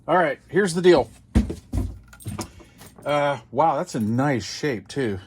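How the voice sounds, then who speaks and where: A man's voice: a little high, lively intonation, slow pace, background noise. An older American man chatting casually as he hosts a hobby unboxing video, rambling along in a folksy, self-deprecating way.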